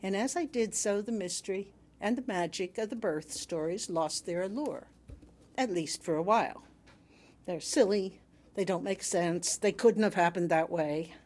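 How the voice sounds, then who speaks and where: A woman reading a sermon aloud to a congregation in a measured, emphatic way with wry humour. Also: mid-range voice, darkish tone. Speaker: reader delivering another minister's sermon.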